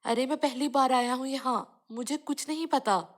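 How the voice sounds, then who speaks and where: A woman's voice: high-pitched, moderate pace, voice pushed up. A woman voicing a naive, whiny cartoon visitor to the city, plaintive and full of questions. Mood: bored, complaining.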